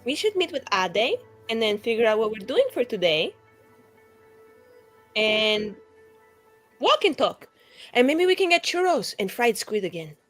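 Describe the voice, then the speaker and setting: A breezy, playful woman chats in character at a tabletop game. Her voice sits fairly high and moves around a lot, with soft music behind. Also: big pitch swings, unhurried, long pauses. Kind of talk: casual in-character chat.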